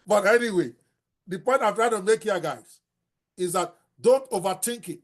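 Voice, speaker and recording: Older nigerian guy, yoruba accent, kinda high voice, blunt, teaches writing books. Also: normal speed. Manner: blunt and animated, mixes in Yoruba phrases. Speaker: host.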